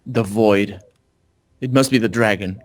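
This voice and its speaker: A man's voice: lowish voice, unhurried. A man chatting casually during a co-op game, thinking aloud and often questioning. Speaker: gaming video player. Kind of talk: casual co-op playthrough chat.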